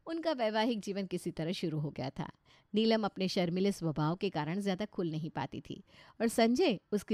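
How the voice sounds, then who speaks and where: A woman's voice: mid-range voice, warm tone, no room sound. An Indian-accented woman giving scripted storybook narration.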